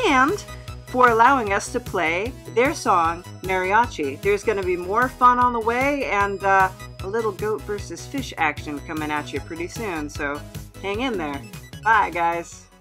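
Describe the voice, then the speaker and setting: A woman's voice: medium pitch, bright, forceful tone. A woman podcast host giving a casual, chatty outro to listeners in an informal way.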